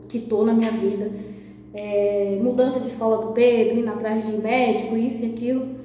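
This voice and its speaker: A woman in her thirties, hoarse and nasal from a sore throat, talking softly to a phone camera for her vlog in a small bathroom. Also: slight background noise. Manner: speaking softly, low voice. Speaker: vlogger. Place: small tiled bathroom indoors. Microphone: phone camera at arm's length. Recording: muffled echoey bathroom.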